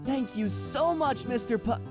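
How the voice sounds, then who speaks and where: An actor playing an excitable, easygoing and dramatic male student in an anime game. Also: sits high, a little slow. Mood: pumped, grateful. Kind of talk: anime visual novel game acting. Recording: background music.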